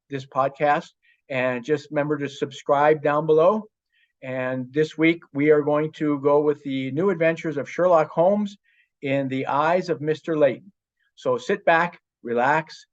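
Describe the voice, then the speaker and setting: A man's voice: a little high, dull audio. A man presenting a podcast introduction in a plain-spoken, earnest way. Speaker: podcast host and author. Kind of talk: podcast introduction with self-promotion.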